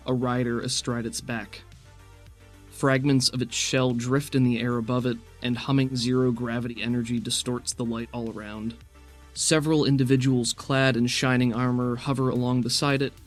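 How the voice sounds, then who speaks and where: Man, game master, mid-pitched voice, cinematic measured scene narration, faint music behind.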